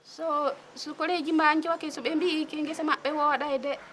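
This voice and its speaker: Female witness, very high voice, unscripted account, faint ambient noise. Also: fairly even intonation, moderate pace, fairly bright tone. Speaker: witness interviewee. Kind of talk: spontaneous testimony.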